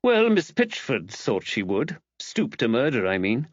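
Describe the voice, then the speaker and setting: A man's voice: medium pitch, wildly swooping pitch, measured pace, no echo, highs cut off. A man voicing a knowing, gossipy village woman in audiobook dialogue, wry and matter-of-fact.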